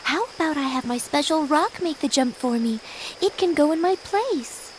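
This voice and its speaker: A woman playing a gentle, selfless, gravely ill teenage girl in a video game cutscene, soft-spoken and earnest. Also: high voice, expressive, moderate pace, a little hiss or hum, rough recording. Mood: calm, reassuring.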